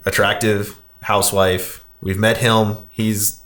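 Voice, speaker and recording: Low-pitched man recapping casually. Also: flat delivery, takes it slowly, a bit bright. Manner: conversational, heavy use of "like". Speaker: podcast host. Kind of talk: casual movie discussion, recapping a plot.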